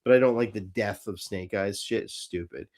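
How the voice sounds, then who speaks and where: A man's voice: fairly low pitch, moderate pace, darkish tone. A man hosting a fan show in casual chat, rambling and hesitant, with some swearing. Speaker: host of a live fan show.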